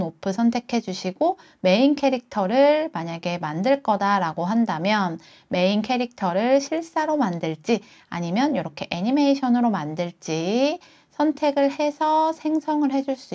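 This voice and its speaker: A woman hosting a software tutorial, walking through each step as she explains it. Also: medium pitch. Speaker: tutorial host. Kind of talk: software tutorial, screen walkthrough.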